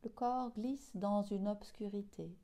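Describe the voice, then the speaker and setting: A woman leading a guided yoga nidra meditation in a soothing, hushed, unhurried way with long pauses. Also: medium pitch.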